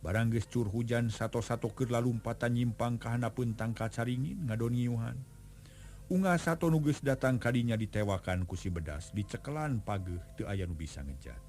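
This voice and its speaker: A man narrating a folk tale in a steady storytelling voice. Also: medium pitch, normal speed, soft background music.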